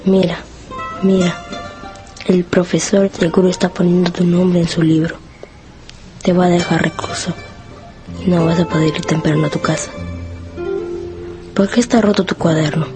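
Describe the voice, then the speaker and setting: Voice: mid-range voice, flat delivery, moderate pace, pauses often, natural voice, rough recording. Una voz joven interpreta a un escolar solidario e insistente en una radionovela, con habla coloquial y repetitiva. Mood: anxious insistence. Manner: pressing, repetitive, colloquial. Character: caring, insistent young schoolboy classmate. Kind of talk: radio drama acting. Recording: guitar music under the voice.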